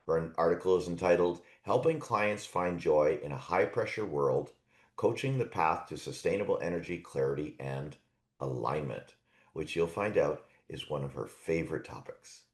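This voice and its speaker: Low-voiced male podcast host, cheerful interviewer, roomy sound. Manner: upbeat, laughs often. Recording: roomy echo.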